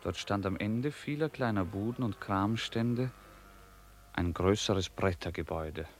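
Male narrator for literary radio drama, low register, scripted storytelling delivery. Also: a little slow.

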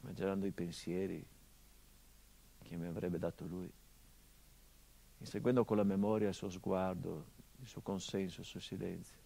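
An actor playing a hardened, grieving middle-aged son, delivering a measured theatrical monologue that drops to hushed tones. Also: male voice, sits low, fairly even intonation, creaky, rough recording. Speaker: stage actor.

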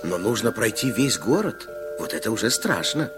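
A man's voice: lowish voice, sing-song, normal speed, loud music behind the voice. A man playing a kindly, playful storyteller in a children's radio play, speaking in a confiding way. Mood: hushed, suspenseful. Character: kindly fairy-tale narrator, playful and confiding.

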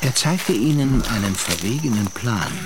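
A man narrating a literary radio drama with measured, deliberate phrasing and pauses. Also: mid-range voice, expressive, noisy background.

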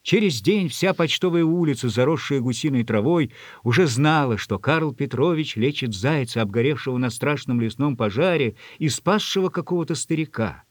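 A man reading a literary story as an audiobook narrator, with a husky voice. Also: medium pitch, expressive, normal speed, hardly any pauses, no room sound.

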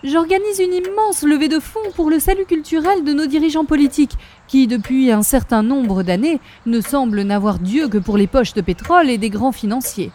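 A woman's voice: sits high, normal speed, few pauses, warm tone, a little hiss or hum, close to an everyday voice. A woman playing a grandiose society lady crusading for culture in a comic radio play, effusive and flowery. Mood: grandiose, earnest. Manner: theatrical, effusive, flowery speech. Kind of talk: comic radio play in 1930s-40s style.

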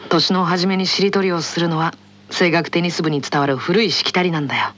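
A woman voicing a gentle, unflappable and teasing senior teenage boy tennis player in an anime radio drama, softly and calmly. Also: fairly low pitch, brisk, voice pushed down. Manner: soft, calm, playfully superior.

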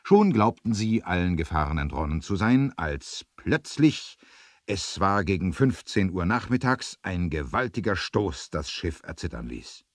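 Male narrator, low voice, lively intonation, suspenseful emphasis, vintage adventure radio play, dry close sound. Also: unhurried, dry recording. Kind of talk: dramatic scripted storytelling for 1970s adventure radio play.

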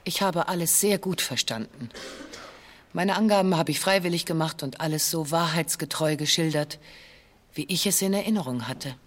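Ein Schauspieler spielt in einem Hörspiel einen steifen, sich verteidigenden Verdächtigen, der förmlich und gestelzt im Verhör aussagt. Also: male voice, a little high, normal speed, fairly bright tone. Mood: stiff, matter-of-fact. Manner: formal, stilted wording. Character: male criminal suspect under interrogation, stiff, defensive. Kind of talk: radio play, recited statement of interrogation record.

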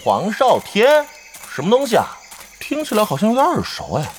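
A man's voice: high-pitched, wildly swooping pitch, normal speed, a little hiss or hum. A man acting in an audio drama as a brash, gullible young brawler with a swaggering, simple-minded way of talking. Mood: curious, cocky. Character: brash, gullible, naive young male brawler spoiling for fights. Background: background music.

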